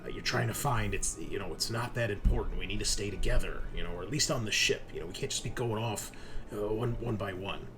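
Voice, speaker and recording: A man's voice: on the low side, quick pace, natural voice. A man playing a worried male doctor in a tabletop game, pleading hesitantly and repeating himself. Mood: urgent, pleading.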